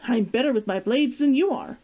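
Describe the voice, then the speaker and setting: A woman's voice: on the high side, lively intonation, fairly quick, warm tone. A woman reading aloud as a proud, stubborn woman fighter in a storybook, defensive and curt. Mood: self-assured. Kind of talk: storybook character dialogue read aloud.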